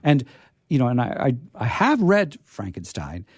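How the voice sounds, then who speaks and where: An American man hosting a radio show, chatting casually and enthusiastically about books in a halting, discursive way with emphatic repetition. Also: medium pitch, wildly swooping pitch, husky, no room sound, clean studio sound. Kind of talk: casual book chat.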